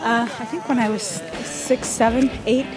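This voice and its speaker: A woman in her thirties from Ethiopia, telling stories in a casual interview with thoughtful pauses and soft laughs. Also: fairly high pitch, steady tone, over music, rough recording. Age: 30s. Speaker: interviewee, pickup soccer player.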